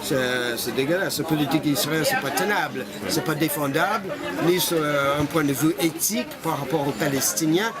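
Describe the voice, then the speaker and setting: A man's voice: a little high, normal speed, few pauses. An older man from Canada, interviewed in the street, speaking with many fillers and restarts. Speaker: interviewee, association founder. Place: outdoors in a busy street. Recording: loud street crowd behind. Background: crowd noise.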